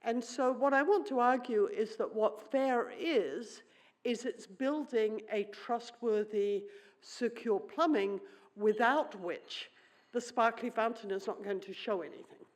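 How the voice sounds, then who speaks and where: A woman's voice: a little high, measured pace. A middle-aged woman who grew up in New Zealand and whose first language is Swedish, giving a conference keynote in a wry, conversational manner and thinking aloud with many fillers. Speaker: keynote speaker.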